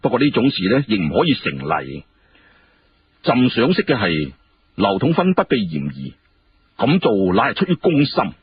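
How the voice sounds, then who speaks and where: A man voicing an imperious, sharp-tongued emperor with an emphatic, questioning delivery in historical storytelling. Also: fairly low pitch, wildly swooping pitch, moderate pace. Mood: firm, conciliatory. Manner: indignant rhetorical questioning, emphatic. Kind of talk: Cantonese historical storytelling dialogue. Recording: muffled, clearly noisy radio-like recording.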